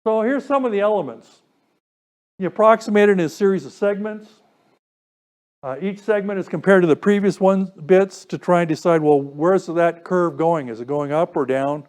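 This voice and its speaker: A man's voice: sits high, moderate pace, darkish tone. A man presenting an informal technical lecture, explaining step by step and putting questions to his listeners. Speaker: presenter giving a technical talk to a radio club.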